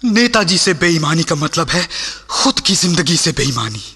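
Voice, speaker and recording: An actor playing a proud, loyal Sikh army officer in a Hindi radio play, declaiming with passion. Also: male voice, on the high side, very animated, moderate pace, pushed and bright, husky, long reverb tail, full character voice. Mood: defiant. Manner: impassioned, declamatory, rhetorical questions.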